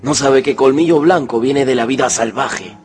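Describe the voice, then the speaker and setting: A man's voice: medium pitch, moderate pace, darkish tone, faint music behind, close to an everyday voice. An actor playing a devoted young man who loves his animal, in a radio drama. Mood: proud, affectionate.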